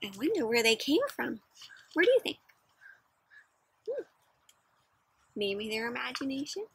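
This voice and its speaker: A young woman doing an interactive read-aloud for children, speaking in an expressive, emphatic way. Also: sits high, measured pace, slightly rough, no room sound. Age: young adult. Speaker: children's storybook reader. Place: outdoors in a playground.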